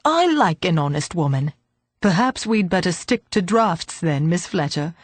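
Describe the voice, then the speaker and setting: A woman reading a storybook voices a frail, grumpy old man who is gruff but kindly and speaks in a creaky, growling way. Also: fairly low pitch, very animated, a little slow, dry recording. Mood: grumbling. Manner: grumbling, gruff.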